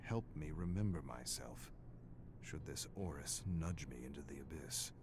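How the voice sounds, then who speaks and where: An actor playing a grave, weary scholarly archivist with a morbid fascination, narrating dark game records in a deliberate, brooding way. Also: male voice, rumbling low, animated, noisy background. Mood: strained, grave. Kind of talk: dramatic scripted game narration. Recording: clear music behind the voice.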